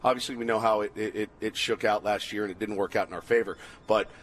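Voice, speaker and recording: A man's voice: on the low side, steady tone, quick pace, a bit bright, slight background noise. A man hosting a sports radio show with casual, joking banter.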